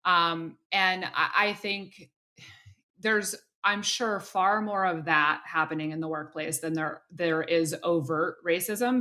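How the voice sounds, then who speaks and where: A woman's voice: fairly low pitch, sharp tone. A woman hosting an interview podcast, speaking thoughtfully with frequent "you know"s and restarts. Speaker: podcast host.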